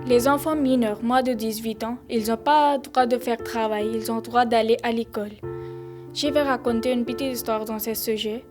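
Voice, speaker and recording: A young female student narrates a prepared story as a non-native speaker. Her voice is slightly high with flat intonation, over clear piano music. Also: moderate pace. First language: not French. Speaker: newly arrived student. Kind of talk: scripted personal narration.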